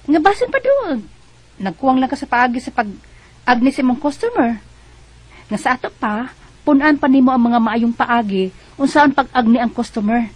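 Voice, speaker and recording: High-voiced determined young Cebuano mother. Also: expressive, normal speed, no echo. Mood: earnest, encouraging. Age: young adult. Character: determined young single mother striving through heartbreak. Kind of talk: Bisaya radio drama acting.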